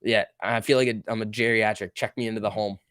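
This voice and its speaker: A man's voice: fairly low pitch, steady tone, fast talker, fairly bright tone, clear, resonant tone, no echo, studio quality. A man in his early twenties hosting a casual trading livestream, chatting informally and joking as he reads and answers viewer comments. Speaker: livestream host.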